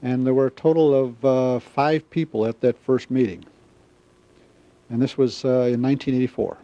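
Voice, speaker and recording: An American man in his seventies from the Midwest, recalling things in an interview in a halting, measured way. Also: mid-range voice, dark tone. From Wisconsin, US Midwest. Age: 70s. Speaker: club member, newsletter editor. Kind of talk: interview recollection. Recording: slightly dull, dry interview sound.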